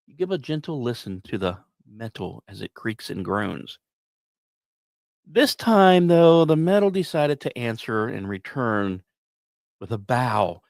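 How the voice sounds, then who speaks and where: A man narrates like a podcast storyteller, his mid-pitched voice swinging widely up and down. He leans on key words and leaves dramatic pauses, mixing scripted lines with casual asides. The sound is close and very dry, with no room around it. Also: no room sound. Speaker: podcast host.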